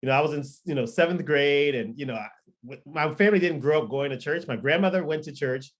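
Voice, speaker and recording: A man's voice: on the high side, fast talker. A man in his thirties, a pastor and record producer born in Liberia and raised in Florida, telling his story in a podcast interview with lots of ums and restarts. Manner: rambling, many ums and restarts. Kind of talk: relaxed testimony in a podcast interview.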